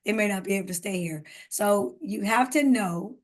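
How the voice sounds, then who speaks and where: A woman's voice: mid-range voice, normal speed. A woman co-hosting a podcast, talking earnestly and giving emphatic advice in a casual conversation. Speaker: podcast co-host.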